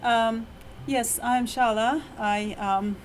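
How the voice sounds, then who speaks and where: A woman's voice: fairly high pitch, steady tone, takes it slowly, slight background noise. A middle-aged woman with an Iranian accent, giving a faculty talk as a university lecturer, hesitant with many fillers and restarts, her voice tired after teaching. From Iran. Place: university meeting room.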